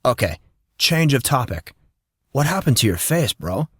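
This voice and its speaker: A man's voice: medium pitch, big pitch swings, unhurried, fairly bright tone, breathy edge, no echo, studio quality, exaggerated voice. A man voicing a brash, teasing teenage boy in an audio drama, sarcastic and full of slangy banter. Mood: cocky teasing.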